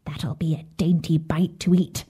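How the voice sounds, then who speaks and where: A woman voicing a wicked old witch from a fairy tale, jeering, bossy and falsely sweet, in a theatrical, drawn-out way. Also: fairly high pitch, wildly swooping pitch, darkish tone, voice pushed up, heavily acted. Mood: gloating. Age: elderly. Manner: theatrical, drawn-out, scolding delivery. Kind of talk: fairy-tale storybook character voice.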